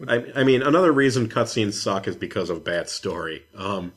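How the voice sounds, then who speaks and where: Low-voiced man in casual podcast banter. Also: expressive, moderate pace, slightly rough. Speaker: gaming podcast co-host. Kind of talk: casual chat about video games.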